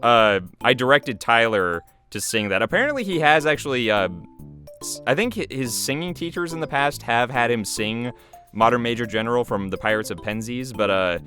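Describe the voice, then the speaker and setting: A man's voice: mid-range voice, lively intonation, normal speed, bright, forceful tone, faint music behind. A man who creates and voices videos, giving informal, musing behind-the-scenes commentary in a casual way.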